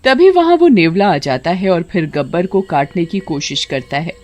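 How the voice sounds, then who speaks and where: Lively woman storyteller over faint music. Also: on the low side, normal speed. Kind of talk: scripted story narration.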